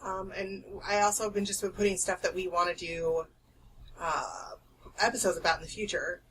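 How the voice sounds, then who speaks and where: A woman co-hosting a podcast, talking in a loud, carrying voice that sits a little low. She chats freely, swears a lot and laughs easily. She sounds a bit distant from the mic, with a faint hum behind her. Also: fairly even intonation, moderate pace. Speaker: podcast co-host. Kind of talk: casual chat. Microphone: desk podcast microphone.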